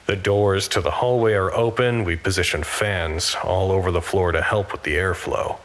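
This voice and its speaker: An actor playing an anxious, weary researcher who narrates a log in a measured, wry way for an audio drama. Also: male voice, deep voice, fairly bright tone. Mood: weary, uneasy.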